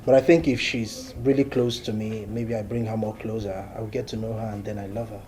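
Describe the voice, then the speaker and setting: A man's voice: fairly low pitch, level tone, a little fast, warm tone, slight background noise, lightly acted. A man with a Nigerian accent playing a prince's candid young friend in a naturalistic film drama. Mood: hesitant. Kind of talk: naturalistic Nollywood film acting.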